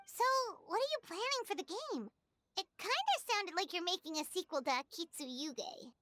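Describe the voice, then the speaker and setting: A woman's voice: very high-pitched, sing-song, moderate pace, a bit bright, no room sound, pitched above the performer's own voice, heavily acted. A woman voicing a small, childlike floating fairy companion from a game, chirpy and inquisitive. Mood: curious. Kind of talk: game dialogue read-aloud.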